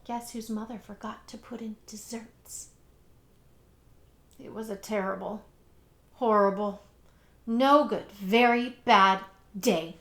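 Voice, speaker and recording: A woman's voice: mid-range voice, a bit bright, roomy sound. A woman voicing a sulky, put-upon young boy telling a storybook tale in an aggrieved, emphatic, exasperated way. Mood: glum.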